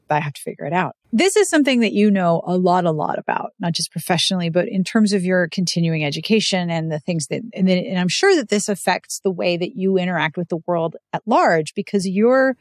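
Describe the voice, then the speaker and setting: A woman's voice: on the low side, no echo, clean studio sound. A woman hosting a podcast interview in a casual, conversational style.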